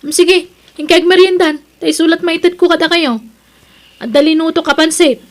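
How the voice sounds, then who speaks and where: A woman playing a busy, stingy grown daughter in an audio drama, loud, brusque and dismissive. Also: very high-pitched, steady tone, normal speed. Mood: curt, dismissive. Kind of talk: Ilocano audio drama acting.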